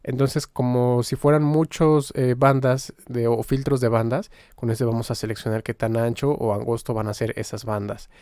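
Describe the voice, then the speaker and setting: A man giving an informal, explanatory software tutorial, pausing now and then as he demonstrates. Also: medium pitch, flat delivery, clean tone, no room sound, clean studio sound. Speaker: music software tutorial instructor.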